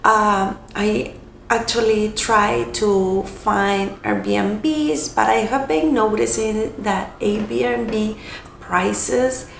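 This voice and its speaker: A young woman from Costa Rica, a native Spanish speaker, chatting casually to camera in a hoarse, raspy voice, hesitant and correcting herself as she goes. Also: mid-range voice, steady tone. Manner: hesitant, self-correcting, unhurried. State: hoarse from illness. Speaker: vlogger. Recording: echoey room, faint noise.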